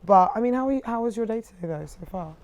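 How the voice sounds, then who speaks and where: Young female podcast co-host, croaky, warm low-edged tone, chatty and upbeat. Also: expressive, highs cut off. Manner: bubbly, laughs often, fast restarts. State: croaky voice after a big event. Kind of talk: casual chat.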